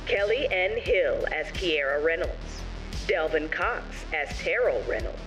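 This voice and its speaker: A male announcer reads scripted show credits. His voice is slightly high, and music plays clearly behind him. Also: animated, unhurried, low-quality recording. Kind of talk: scripted narration.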